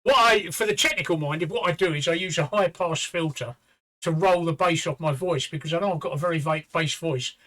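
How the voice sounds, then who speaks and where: A man's voice: on the high side, fairly quick, fairly bright tone. A man hosting a casual online chat show, joking and rambling, talking from a pub. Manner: joking, rambling, stumbles on words. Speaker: podcast host. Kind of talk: casual banter.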